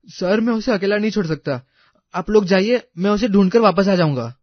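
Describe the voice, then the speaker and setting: A man's voice: high-pitched, animated, moderate pace, no room sound, highs cut off. A man playing an earnest, devoted young man in love in a story. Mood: urgent, excited.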